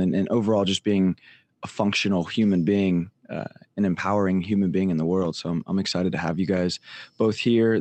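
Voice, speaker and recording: A man co-hosting a podcast in easygoing casual chat, hoarse and losing his voice. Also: lowish voice, no echo. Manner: easygoing, relaxed, chatty. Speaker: podcast co-host.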